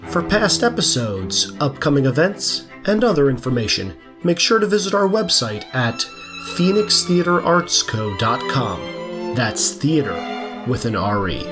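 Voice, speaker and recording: Violin music plays behind a man announcing a drama programme. He reads from a script at an unhurried pace, with animated swings of pitch.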